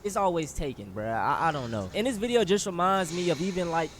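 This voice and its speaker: A man in his twenties with a high, very lively voice delivers casual reaction commentary. He is slangy and emphatic, with faint noise behind him. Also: a little fast. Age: 20s. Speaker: reaction video host.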